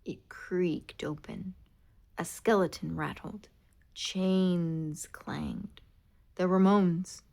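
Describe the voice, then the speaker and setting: A woman reading a children's picture book aloud in an expressive, animated way. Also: on the low side, drawn-out words, vocal fry. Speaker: storybook reader. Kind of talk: children's read-aloud narration.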